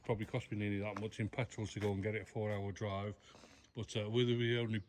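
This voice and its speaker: A man's voice: on the low side, level tone, fast talker. A man with an English accent explaining things casually in an informal, matter-of-fact and slightly hesitant way. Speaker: restorer explaining an old machine. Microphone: camera held by another person a short way off. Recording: background noise, handheld camera sound.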